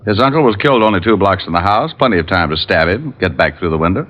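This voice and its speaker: A brash detective hero with a low, wry, hard-boiled delivery. It sounds like vintage radio crime drama, slightly dull in tone. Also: male voice, expressive, fast talker, fairly bright tone. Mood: wry, insistent. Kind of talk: 1940s-50s radio detective acting. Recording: muffled old radio recording with music.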